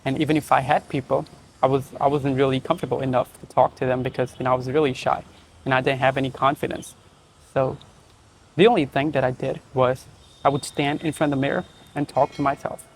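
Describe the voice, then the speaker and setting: A man's voice: medium pitch, flat delivery, a little fast, slight background noise. A young man with an Indian accent, hosting his own channel and talking casually to camera, often checking in with "right?" and "you know". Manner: conversational, frequent "right?" and "you know" fillers. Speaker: channel host.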